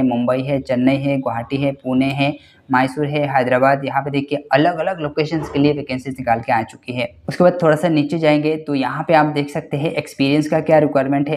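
A young man hosting a job-vacancy channel, walking viewers through a listing on screen in a quick, repetitive explainer style, often addressing them as guys. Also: on the high side, a little mellow. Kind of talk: screen-share walkthrough explainer.

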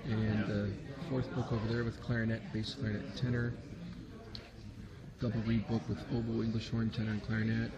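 A man's voice: fairly low pitch, flat delivery, brisk, lo-fi sound, over a noisy crowd. A man in his fifties filming a casual vlog from his car and a rehearsal hall, talking with lots of filler words. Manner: chatty, many "uh" fillers. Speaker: woodwind doubler vlogger. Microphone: handheld camera at arm's length.

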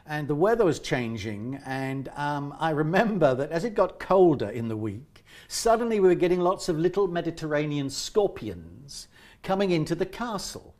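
A talkative man conducts an interview with a lively, up-and-down pitch. His voice sits a little high for a man, and he often restarts his sentences mid-thought. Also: moderate pace. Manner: talkative, animated, frequent restarts. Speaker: interviewer and director. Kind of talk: chatty interview.

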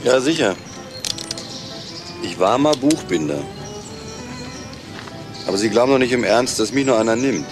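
An actor playing a gruff, indifferent ex-convict in a crime radio drama, with a terse, blunt, offhand way of talking. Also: male voice, mid-range voice, normal speed, long pauses, over music, close to an everyday voice. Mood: weary, defiant.

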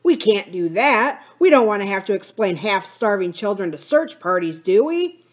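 A woman voicing a rough male villain in a storybook, blustering and commanding in exclamations. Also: mid-range voice, sing-song, normal speed. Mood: urgent.

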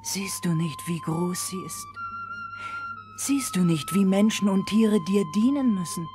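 A woman playing a calm, wise woman of magic in a fairy-tale audio drama, deliberate and solemn. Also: mid-range voice, music in the background, lightly acted. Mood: solemn, knowing.

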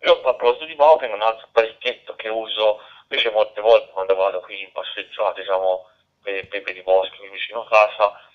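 Male ham radio operator, lowish pitch, conversational and wandering with lots of fillers, lo-fi radio transmission. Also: fairly even intonation, brisk. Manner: rambling, frequent filler phrases. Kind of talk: casual radio contact chat. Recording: dull digital two-way radio audio.

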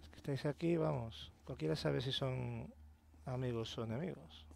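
A man's voice: mid-range voice, moderate pace, gravelly, darkish tone, a little hiss or hum. A man giving chatty, exclamatory gameplay commentary.

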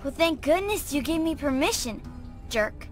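Imperious little girl, video game, adult woman acting; high, snappy, bossy, faint music behind. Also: lively intonation, normal speed. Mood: haughty, scolding. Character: haughty, bossy young girl who calls shots.

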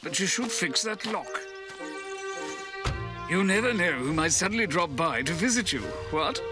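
A man's voice: sits high, lively intonation, moderate pace. A man voicing a pompous, cheerful, genteel king in a children's cartoon, formal and chirpy. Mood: jovial. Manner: posh wording, formal, chirpy. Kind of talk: animated children's cartoon dub. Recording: loud music behind the voice.

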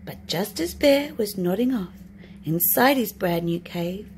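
A woman's voice: medium pitch, lively intonation, unhurried. A woman narrating a children's storybook expressively as a storytime reader. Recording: noisy home recording.

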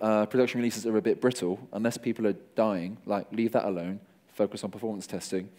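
A middle-aged man speaks from a conference stage into a microphone, his voice low and mellow. He explains things in a relaxed, conversational way and drops dry jokes for the audience. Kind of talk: conference talk.

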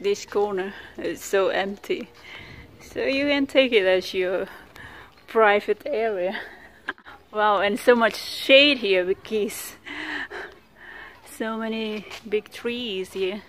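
A woman's voice: medium pitch, slow pace, pauses often, a little hiss or hum. A woman narrating a casual walking tour outdoors, chatty, with many fillers and frequent laughs, tired from the heat. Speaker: vlogger. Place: outdoors at a beach park with trees.

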